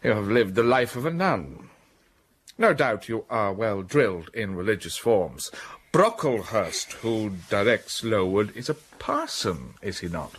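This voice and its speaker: An actor playing an imperious gentleman in a radio drama, questioning curtly. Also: lowish voice, big pitch swings, unhurried, slightly rough, full character voice. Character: brusque, commanding gentleman of the manor.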